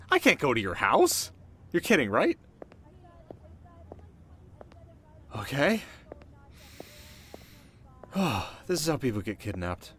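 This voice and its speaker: A man's voice: medium pitch, bright, forceful tone, a little hiss or hum. A man playing a cocky, teasing delinquent teenage boy in an ASMR roleplay, talking casually and wryly straight to the listener. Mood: incredulous, nervous. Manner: casual, wry, sarcastic, sometimes flustered. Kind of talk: ASMR audio roleplay, one-sided dialogue to listener. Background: music.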